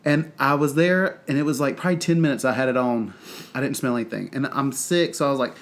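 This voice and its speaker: A man's voice: medium pitch, fast. A man with a Southern US accent, congested and stuffy-nosed, chatting casually as a podcast co-host in a rambling way. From North Carolina, US. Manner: rambling, chatty, lots of fillers. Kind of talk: casual chat.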